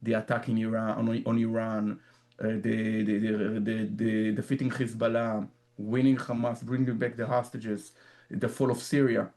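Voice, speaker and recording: A man with an Israeli accent speaks in a warm, dark register. He answers at length in a radio interview, restarting phrases and repeating small words as he thinks. His delivery is earnest and explanatory. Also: lowish voice, monotone. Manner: halting, repeats words while searching for phrasing. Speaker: author guest. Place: in Jerusalem, remote line.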